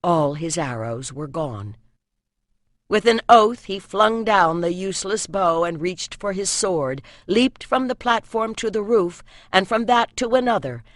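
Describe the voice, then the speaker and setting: A narrator reads an epic fantasy novel with solemn, expressive drama. The delivery is scripted storytelling, recorded close and dry in a studio. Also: mid-range voice, no room sound. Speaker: audiobook narrator.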